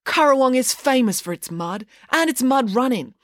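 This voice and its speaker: Woman narrator as a proud young sportswoman, raised pitch, loud rallying declarations, upbeat, dry audiobook sound. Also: sing-song, a little slow, dry recording. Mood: declaring. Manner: loud declarative public-speech delivery.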